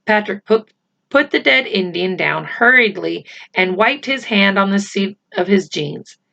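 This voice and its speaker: A woman reading a children's book aloud as narrator, unhurried and expressive. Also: mid-range voice, vocal fry, lo-fi sound. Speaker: storybook reader.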